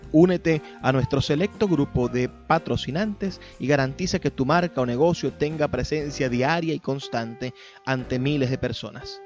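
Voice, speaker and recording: A man's voice: a little high, a little mellow, highs cut off. A man reading a scripted radio advertisement as an announcer. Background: music.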